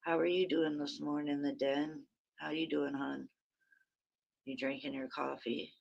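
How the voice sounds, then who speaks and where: A woman with a very raspy voice, hosting a rambling, chatty early-morning livestream from her phone and talking to viewers by name. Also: low voice, flat delivery, a little slow, darkish tone, muffled recording. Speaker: livestream host.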